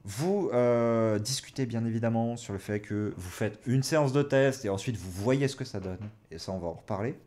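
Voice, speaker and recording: A young man in his twenties speaks in a casual, conversational way. He thinks aloud as he gives advice, hesitating along the way. His pitch sits in a middle range for a man. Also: normal speed, hardly any pauses, a little mellow. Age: 20s. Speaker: host, experienced game master giving advice. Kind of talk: casual chat, advice to beginners.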